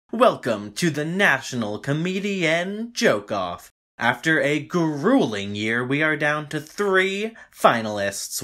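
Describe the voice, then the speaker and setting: Man playing high, bright, showy TV host. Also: heavily acted. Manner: grand announcer delivery. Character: showy, slick male TV contest host. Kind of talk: audio drama acting as game-show announcer.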